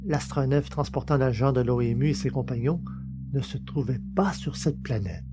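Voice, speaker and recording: A man's voice: medium pitch, moderate pace. A man reading a science-fiction novel as a scripted, dramatic audiobook narration. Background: music.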